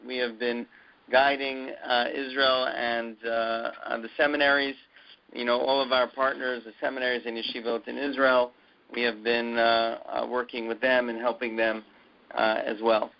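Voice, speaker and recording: A man giving an update on a conference call in a halting, hesitant way with many filler pauses, as a university president addressing his community. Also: mid-range voice, flat delivery, vocal fry. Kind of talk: conference call update, semi-scripted announcement. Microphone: conference phone call. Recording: dull muffled conference call audio.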